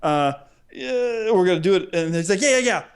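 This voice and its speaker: Rambling, higher-pitched man chatting. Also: a little fast, clear, resonant tone. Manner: animated, rambling, acts out imagined dialogue. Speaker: podcast co-host. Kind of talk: casual humorous chat, storytelling.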